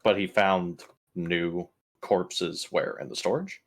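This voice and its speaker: A man's voice: sits low, steady tone, slow pace. A man playing a tabletop roleplaying game, chatting casually with the group.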